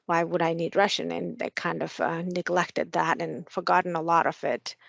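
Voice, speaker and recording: Mongolian-accented woman officer in her 40s, earnest with lots of fillers, lowish voice, dry close sound. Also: level tone, moderate pace, gravelly, dry recording, dull audio. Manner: frequent fillers, friendly and earnest. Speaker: Air Force officer. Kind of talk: interview answers.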